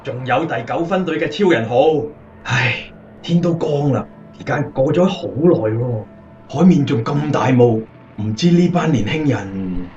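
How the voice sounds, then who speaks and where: A male actor playing a dignified, concerned military commander in a radio drama, measured and authoritative. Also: mid-range voice, animated, darkish tone, reverberant, muffled recording, natural voice. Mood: worried.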